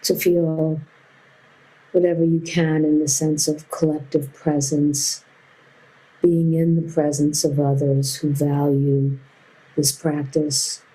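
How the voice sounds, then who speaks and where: A woman teaching a guided meditation in a gentle, unhurried way with long pauses. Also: low-pitched, little pitch movement, rough recording.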